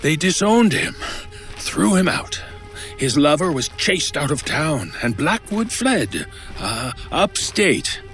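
A man's voice: a little high, big pitch swings, takes it slowly, fairly bright tone, soft background music, heavily acted. A man playing an exasperated, skeptical older antiques dealer in an old time radio drama, grumbling and dryly sarcastic. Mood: grave storytelling. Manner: grumbling, dry sarcasm, weary storytelling.

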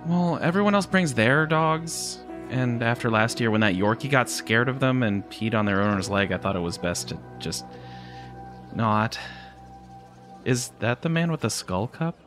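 Casting: male actor as worried everyday local man for horror audio drama, natural, hesitant, mid-pitched, with clear music underneath. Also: animated, moderate pace, a bit bright, close to an everyday voice. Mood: sheepish. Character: Brian, a mild, worried local man with dogs.

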